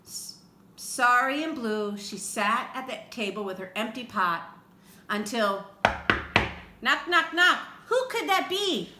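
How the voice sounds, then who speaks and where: A woman reading a picture book aloud to children in an expressive way, with chatty asides to her young listeners. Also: a little high, takes it slowly. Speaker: read-aloud storyteller for children. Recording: roomy echo with faint background noise.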